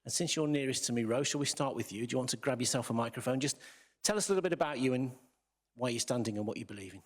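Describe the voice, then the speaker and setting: A man hosts a business debate in a theatre, talking quickly and keeping things moving. His pitch sits in a middle range, and he sounds brisk, organised and friendly as he sets out the rules and introduces each candidate. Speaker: debate host. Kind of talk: hosting a hustings debate. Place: theatre with audience.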